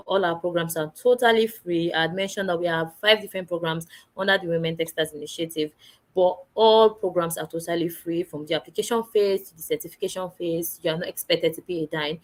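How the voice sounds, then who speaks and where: Woman with a lowish voice walking people through a program, steady, lists stuff one by one. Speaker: program presenter. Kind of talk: informational explanation.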